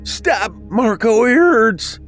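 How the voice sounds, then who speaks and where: A man's voice: very high-pitched, very animated, takes it slowly, fairly bright tone, soft background music, voice pushed up, exaggerated voice. A man voicing a frightened young boy in a horror story, whining, gasping and pleading. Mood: panicked, crying. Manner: whining, gasping, crying pleas.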